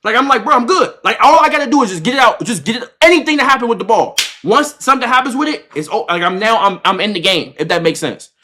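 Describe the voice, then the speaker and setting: High-voiced, fast-talking young male streamer. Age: 20s. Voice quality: slangy, swearing speech. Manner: animated, repetitive retelling with acted-out quotes. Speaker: livestreamer. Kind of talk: casual reaction-stream storytelling to chat.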